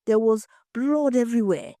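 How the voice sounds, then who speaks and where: A woman's voice: fairly high pitch, moderate pace, mellow, no room sound, clean studio sound, voice pushed up, lightly acted. A woman voicing a tearful, guilt-ridden wife in an audio story, pleading and faltering as she confesses. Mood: distraught. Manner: wailing, pleading, faltering repetitions.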